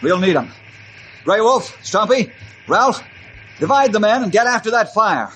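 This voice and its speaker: A man's voice: high voice, lively intonation, a little slow, a bit bright, slight background noise. A man playing a commanding forest ranger who barks orders urgently in an old radio adventure drama. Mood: brisk, decisive. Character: commanding male ranger leading men against a forest fire. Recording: old radio drama recording, commotion behind.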